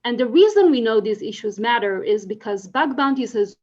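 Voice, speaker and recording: A woman's voice: a little high, lively intonation, brisk, a little mellow. Israeli woman, conference talk, earnest, muffled lo-fi sound. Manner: earnest, many fillers and restarts. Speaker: conference speaker. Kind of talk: conference presentation.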